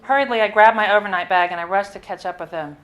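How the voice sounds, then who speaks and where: A woman reading her own first-person story at a live literary event, in a measured, expressive way with the odd small stumble. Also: mid-range voice, highs cut off.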